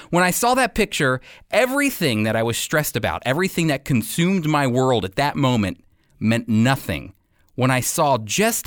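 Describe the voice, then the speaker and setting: A man's voice: medium pitch, fairly bright tone, dry recording, clean studio sound. A man with an American accent hosting a radio program, giving scripted Bible teaching and commentary in an earnest, emphatic way.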